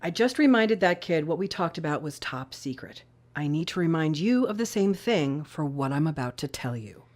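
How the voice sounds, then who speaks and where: A woman's voice: low voice, lively intonation, normal speed, darkish tone. An actress playing an authoritative, probing female intelligence chief in an audio drama, direct and commanding. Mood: firm.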